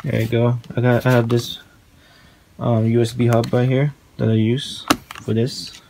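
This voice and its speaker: A man giving a casual hands-on tutorial in a halting, informal way, recorded on a handheld phone at home. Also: medium pitch, little pitch movement, gravelly, background noise. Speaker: tutorial presenter. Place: small room at home, indoors.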